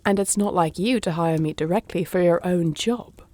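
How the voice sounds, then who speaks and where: A woman's voice: on the low side, moderate pace, a little mellow, lightly acted. A woman playing a wry, shrewd thief for hire in a fantasy audio drama, with a dry, teasing, understated delivery. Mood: probing. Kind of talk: fantasy audio roleplay acting.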